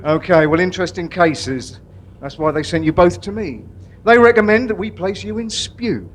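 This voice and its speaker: Actor as a high-voiced pompous man in authority. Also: lively intonation. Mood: officious. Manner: pompous, drawn-out delivery. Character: pompous, theatrical male authority, judge and prison governor. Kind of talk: live comedy sketch acting.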